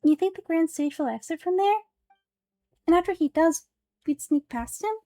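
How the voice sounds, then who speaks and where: A woman voicing a small, excitable, childlike fairy companion from a game, squeaky and nervous. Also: normal speed. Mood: worried. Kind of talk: game dialogue dub.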